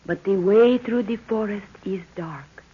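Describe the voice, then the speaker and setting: Brief: commanding mystical witch woman, husky, formal and solemn, dull vintage radio sound. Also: mid-range voice, a little slow, warm tone. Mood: solemn, mysterious. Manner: solemn, formal, speaks of herself in third person. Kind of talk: 1930s radio drama acting. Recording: muffled old 1930s radio recording.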